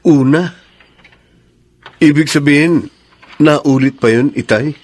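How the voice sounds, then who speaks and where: An actor playing a doubtful, disapproving adult son in a radio drama. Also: male voice, on the high side, slow pace, darkish tone. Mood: suspicious. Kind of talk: Filipino radio drama acting.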